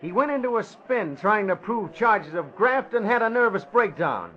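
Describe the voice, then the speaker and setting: Casting: eager newshound, high voice, slangy and matter-of-fact, muffled old-time radio recording. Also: male voice, big pitch swings, moderate pace. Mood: brisk, eager. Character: brisk, eager, slangy newspaper reporter. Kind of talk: 1940s radio acting. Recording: dull vintage radio recording.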